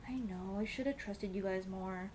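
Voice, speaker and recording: A woman's voice: mid-range voice, moderate pace, a little mellow, a little hiss or hum, lightly acted. A young woman playing a shy, teasing tomboy childhood friend in love, in a casual, hesitant and intimate ASMR roleplay. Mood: embarrassed, fond. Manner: playful, bashful, hesitant, casual dude-talk. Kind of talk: ASMR roleplay acting, intimate girlfriend scene.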